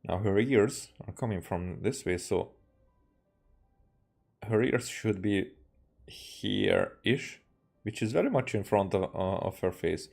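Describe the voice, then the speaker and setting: A man with a Hungarian accent talks very slowly in a fairly low voice. He is streaming a game, thinking aloud in a halting, casual, musing way. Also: expressive, gravelly, darkish tone. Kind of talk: casual rambling live stream commentary.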